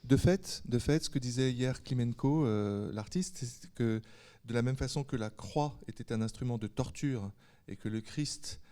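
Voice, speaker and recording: A man's voice: medium pitch, normal speed, dark tone. A man hosting a panel discussion, putting thoughtful questions and rephrasing them as he goes. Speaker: host and moderator of a panel discussion.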